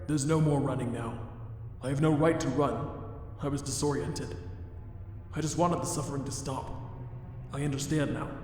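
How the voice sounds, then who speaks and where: A man playing a remorseful, self-loathing monster scientist in a comic dub, speaking in a formal, deliberate way. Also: medium pitch, fairly even intonation, darkish tone, lightly acted. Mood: resigned. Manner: formal, deliberate, grave wording. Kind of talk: dramatic fan comic dub. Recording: very echoey long reverb under music.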